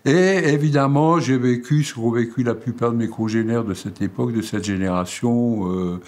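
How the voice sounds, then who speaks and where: A man in his eighties from Alsace, whose first language is Alsatian, speaks haltingly about his life, with many fillers and repeated words. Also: mid-range voice, darkish tone. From Alsace, France. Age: 80s. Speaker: painter and sculptor interviewed. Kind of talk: hesitant reflective monologue.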